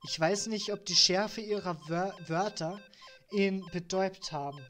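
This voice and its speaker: A woman's voice: medium pitch, unhurried, a bit bright, faint music behind. A woman in her twenties with a Styrian Austrian accent, reading game text aloud and chatting on a live stream. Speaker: let's play streamer.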